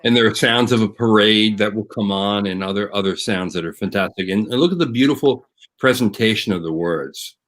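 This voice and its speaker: A man speaking as a show guest, giving appreciative, conversational commentary in measured phrases. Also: fairly low pitch, fairly bright tone.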